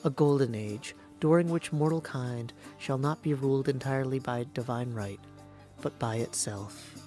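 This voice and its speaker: A man's voice: mid-range voice, normal speed, dark tone, faint music behind, natural voice. A man voicing a solemn storyteller, narrating a mythic game epic in a grave, portentous manner.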